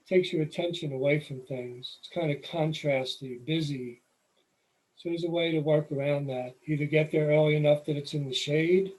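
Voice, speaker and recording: A middle-aged man with a New York accent walks an audience through his slides. His voice sits a little high, and he explains things in an easy, unhurried way with the odd filler. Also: rough recording. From New York City. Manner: relaxed, explanatory, with occasional fillers. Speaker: photography presenter. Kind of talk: slide presentation lecture.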